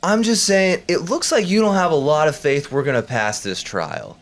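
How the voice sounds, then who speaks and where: A man's voice: fairly high pitch, lively intonation, normal speed. A man talking casually as a player in a tabletop game, improvising with hesitant, wry bargaining.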